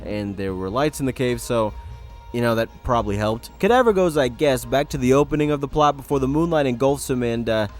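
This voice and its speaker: A man's voice: medium pitch, lively intonation, a little fast, faint music behind. A man giving casual scripted commentary with joking asides and a dry, sarcastic, understated delivery. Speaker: video essayist, host.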